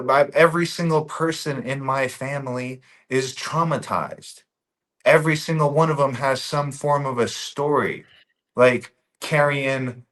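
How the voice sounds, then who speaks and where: A man's voice: medium pitch, fairly bright tone. Earnest man, repeating his points. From Bosnia. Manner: earnest and emphatic, repeats key phrases. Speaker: podcast guest. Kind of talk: casual podcast conversation.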